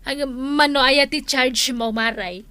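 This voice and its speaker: A woman's voice: high voice, unhurried, sharp tone, smooth, dry recording. A woman playing a chatty, friendly village shopkeeper in a comedy drama. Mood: friendly chatting.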